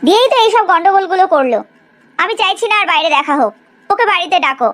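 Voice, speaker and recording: A woman's voice: very high-pitched, lively intonation, fast talker, fairly bright tone. A woman playing an indignant, bossy middle-aged mother in a cartoon family drama, scolding and exclaiming. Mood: bossy, displeased.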